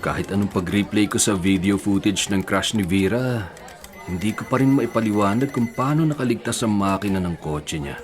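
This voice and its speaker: Filipino radio drama actor as low voiced race crew man, serious and puzzled, music in background. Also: normal speed, lightly acted. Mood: baffled. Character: puzzled, earnest, compliant male racing team staffer.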